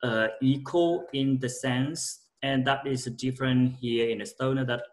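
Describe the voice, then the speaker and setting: Vietnamese man with halting phrasing. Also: medium pitch, fairly even intonation. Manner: plain, searching for words, second-language phrasing. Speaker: interviewee, working in Tallinn after a doctorate. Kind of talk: casual interview answers.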